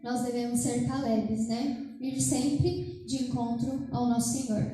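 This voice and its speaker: A woman's voice: fairly high pitch, fairly even intonation, unhurried, warm tone. A Brazilian woman leading worship and prayer at a livestreamed church service, speaking in an earnest, pleading way. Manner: earnest, pleading, with repeated addresses to God. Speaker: worship leader praying at an evangelism service. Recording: very echoey church room.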